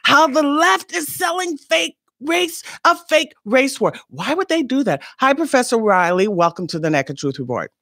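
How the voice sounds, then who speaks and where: A man's voice: extremely high pitch, sing-song, moderate pace, gravelly, hardly any pauses, pushed and bright, no room sound, studio quality. A man hosting an interview show who repeats himself and stacks questions. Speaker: show host.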